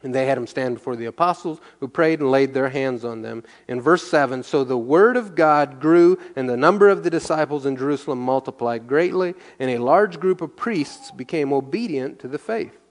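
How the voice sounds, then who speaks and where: A male pastor at a middle pitch reads scripture aloud, then breaks it down in an easy, conversational way. He often poses a question and answers it himself as he teaches verse by verse. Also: normal speed, hardly any pauses. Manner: asks and answers his own questions.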